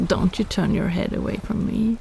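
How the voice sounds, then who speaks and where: A woman voicing a possessive, flirtatious female kraken in a romantic roleplay audio, teasing in a sultry, playful, unhurried way with sighs. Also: on the high side, fairly even intonation, creaky, dark tone, slight background noise.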